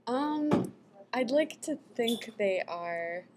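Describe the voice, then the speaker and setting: A woman's voice: a little high, lively intonation, very slow. A woman university language student answering interview questions thoughtfully, hedging with small fillers. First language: English. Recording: noticeable background noise.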